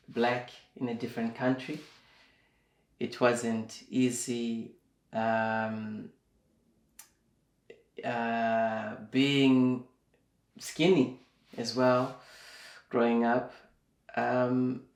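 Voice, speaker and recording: A young man from Zimbabwe, a guest in a casual interview, speaking slowly and thoughtfully, with plenty of fillers. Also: mid-range voice. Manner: slow and reflective, with many fillers. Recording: echoey room.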